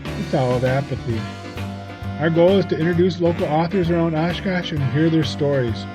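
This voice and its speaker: A man's voice: a little high, rough recording. A man reading a scripted outro as announcer for a local show. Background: guitar music.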